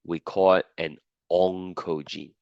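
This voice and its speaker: Very low-voiced sick male lecturer. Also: level tone, slow, clean tone, no echo, lo-fi sound. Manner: repeats key points, checks understanding with "right?" and "okay?". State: sick, losing his voice. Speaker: biology lecturer. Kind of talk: classroom lecture. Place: classroom.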